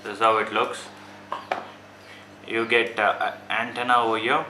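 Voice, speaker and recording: A man's voice: fairly low pitch, level tone, moderate pace, bright, forceful tone. A man with an Indian accent giving casual, informal unboxing commentary to viewers, often repeating himself and calling them guys. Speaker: vlogger reviewing gadgets. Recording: echoey room with faint background noise.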